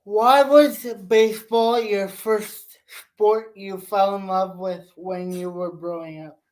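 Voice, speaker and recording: Slow-paced, high-voiced young male interviewer. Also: fairly even intonation, low-quality recording. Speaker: host, sports interviewer. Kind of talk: prepared interview questions.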